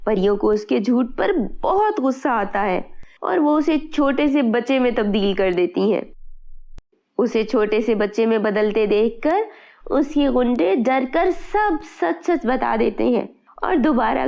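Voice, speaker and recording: Mellow, high-voiced woman storyteller with creaky edges. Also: animated, unhurried, dull audio. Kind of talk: scripted children's fairy tale narration.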